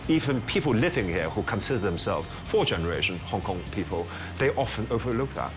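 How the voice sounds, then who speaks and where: A man giving a measured, explanatory documentary interview about history. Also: fairly low pitch, sing-song, music in the background. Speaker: commentator on history.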